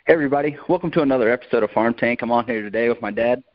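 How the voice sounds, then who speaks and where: A man's voice: mid-range voice, racing, faint music behind. A man hosting a podcast interview in a casual way, recorded over a remote call. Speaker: podcast host. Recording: dull, lo-fi call audio.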